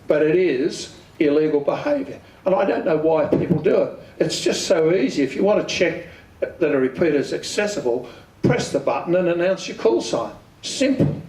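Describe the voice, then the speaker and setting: A man's voice: a little high, lively intonation, normal speed, darkish tone. A plain-spoken Australian man giving an informal instructional talk, often putting questions and answering them himself. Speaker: amateur radio club speaker. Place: community hall. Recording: echoey room, distant microphone.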